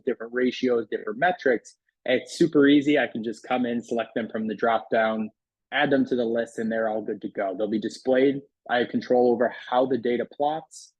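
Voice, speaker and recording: A man's voice: medium pitch, slightly rough, dry recording, highs cut off. A man giving a casual, conversational walkthrough as a product demonstrator, explaining features in an informal sales style.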